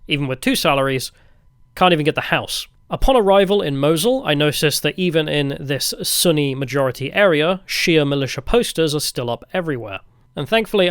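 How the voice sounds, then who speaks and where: A man telling travel stories as a vlogger in a casual, wry, deadpan way. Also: a little high, clean tone, dry recording, studio quality. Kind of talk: casual storytelling narration.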